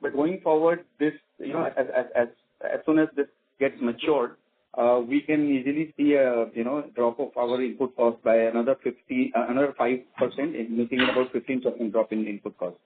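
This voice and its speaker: A man with an Indian accent speaks over a narrow, phone-like connection. His voice sits at an ordinary pitch, and he explains things haltingly, like an executive answering on an earnings call. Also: fairly even intonation. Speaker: company executive. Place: conference call. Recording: tinny phone-like conference line.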